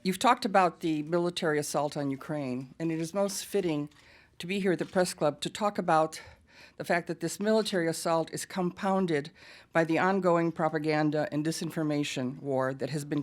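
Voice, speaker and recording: Casting: American woman with a lowish voice, emphatic podium speaker. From United States. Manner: earnest and emphatic, with pointed asides. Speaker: guest speaker at a press event. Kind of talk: prepared speech at a podium. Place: press club hall.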